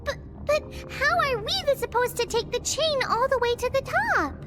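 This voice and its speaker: A woman voicing a small, childlike, excitable creature in an animated video game, squeaky, with quirky misplaced words. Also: normal speed, heavy background noise. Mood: upset. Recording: loud music behind the voice.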